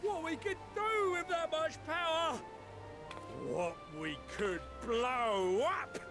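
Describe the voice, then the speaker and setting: A man's voice: extremely high pitch, takes it slowly, full character voice. A man voicing a brash, wildly enthusiastic inventor in a game, full of exclamation. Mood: gleeful. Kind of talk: animated fantasy game dialogue. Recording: music under the voice.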